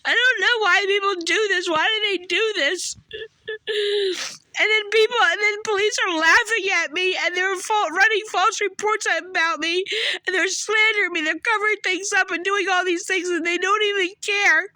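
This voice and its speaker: A woman's voice: extremely high pitch, fairly even intonation, moderate pace, bright, forceful tone, dry recording. A distraught woman with a cold and a failing voice, rambling emotionally into her phone. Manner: distraught, rambling, repeats "or whatever". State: has a cold, losing her voice. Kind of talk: emotional phone video rant.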